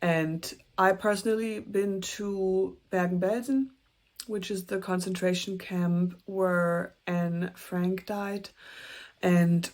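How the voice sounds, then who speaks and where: A young woman with a German accent talks through her reading list in a chatty, earnest way. Her voice sits a little low for a woman, and she sometimes slips in a soft, self-conscious laugh. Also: fairly even intonation, takes it slowly. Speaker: booktuber. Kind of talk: casual book talk to camera.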